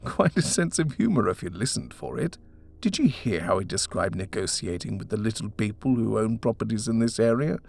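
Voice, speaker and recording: A man's voice: on the low side, lively intonation, a little fast, gravelly. A man voicing an eager young husband in a story, persuasive and indulgent as he talks up a house. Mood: indulgent, amused. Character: eager, coaxing young British husband, smooth-talking house-hunter. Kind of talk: storybook character reading.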